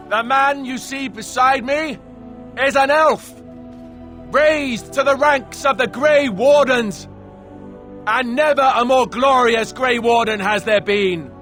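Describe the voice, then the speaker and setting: A noble warrior king declaims to his troops, slowly and in a strikingly high-pitched voice. The game speech is stirring and impassioned, with music behind it. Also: sharp tone, full character voice. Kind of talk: dramatic battle speech in a video game.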